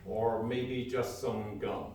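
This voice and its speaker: A man's voice: mid-range voice, flat delivery, slow, darkish tone. A man performing scripted comedy banter to a live audience in the manner of a mock-grand showman announcer. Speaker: comedy radio show performer and co-host. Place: live stage in a hall. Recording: very echoey hall, distant mic, faint noise.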